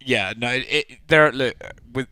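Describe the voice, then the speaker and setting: A tipsy man talking as a guest on a casual podcast, loose and quick to laugh. His voice is bright and clear. The sound is dry and close. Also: medium pitch, no room sound. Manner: loose, laughing, swears freely. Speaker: podcast guest, media personality.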